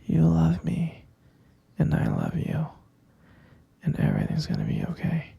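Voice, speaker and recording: Man acting a creaky whispering obsessive kidnapper boyfriend. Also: fairly high pitch, dark tone, no room sound, heavily acted. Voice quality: breathy, fry-laden murmur. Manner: slow, coaxing, saccharine pet names. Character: obsessive, possessive male kidnapper cooing to captive lover. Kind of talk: ASMR boyfriend roleplay, intimate close whispering.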